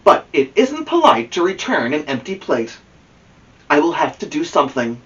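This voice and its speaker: An earnest, cheerful storybook woman who takes everything literally, read by a man. The delivery is lively and obliging, on a slightly muffled, distant mic. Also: on the high side, normal speed.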